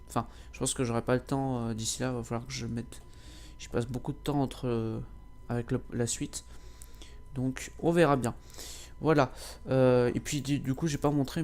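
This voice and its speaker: A man's voice: medium pitch, a little mellow, slight background noise. A man with a cold giving casual, rambling gameplay commentary, hesitating often with filler sounds. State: has a cold. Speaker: gameplay video creator.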